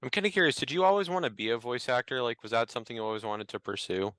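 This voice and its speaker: A man's voice: medium pitch, rapid-fire, a bit bright, smooth, no room sound. A man interviewing a guest in a casual, conversational style. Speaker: podcast interviewer.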